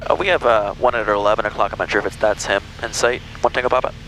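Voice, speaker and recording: A man's voice: on the low side, steady tone, quick pace, fairly bright tone, rough recording. A man working the radio as a pilot, giving clipped readbacks and casual asides over a headset in a small plane. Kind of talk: aviation radio readbacks and cockpit chat. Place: small airplane cockpit in flight. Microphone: aviation headset. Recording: cockpit intercom with engine noise.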